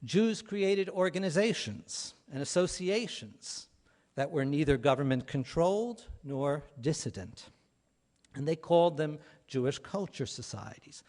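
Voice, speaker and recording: A man speaking as an academic at a conference, delivering a prepared lecture in measured phrases with firm stresses and trailing endings. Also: a little high.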